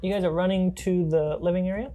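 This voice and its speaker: A woman with a low-pitched voice narrates a tabletop role-playing game. She works as the game master, setting scenes and calling for rolls, with faint music underneath. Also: fairly even intonation, fast, mellow.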